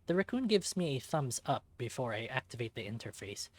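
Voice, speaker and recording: A man reading a visual novel aloud as expressive first-person scripted narration. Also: mid-range voice, brisk.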